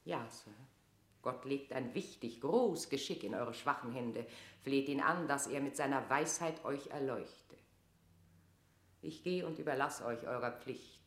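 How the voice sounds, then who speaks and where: A woman's voice: a bass voice, a little slow. An actress playing a proud, tormented Queen of England in a classic radio drama, with grand, declamatory delivery. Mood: coolly evasive. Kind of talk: classic German radio play acting of verse drama. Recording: roomy, reverberant radio drama studio.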